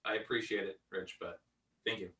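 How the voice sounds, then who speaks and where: A man's voice: fairly low pitch, fairly even intonation, a little fast, pushed and bright. A man chatting casually as an interview guest, enthusiastic and self-deprecating. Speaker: audio drama actor and sound editor, guest. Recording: slightly distant from the mic.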